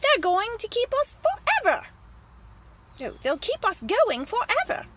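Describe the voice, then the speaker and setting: A woman voicing an excitable, jaunty monkey in a children's storybook, exclaiming and breaking into sing-song. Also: extremely high pitch, moderate pace, pitched above the performer's own voice, exaggerated voice. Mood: excited. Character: excitable, jaunty male monkey who sings rhymes.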